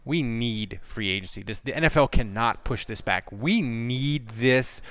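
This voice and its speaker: A man's voice: mid-range voice, measured pace, a bit bright, no room sound. A man hosting a sports podcast, talking casually and reading sponsor spots. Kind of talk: casual sports talk and ad reads.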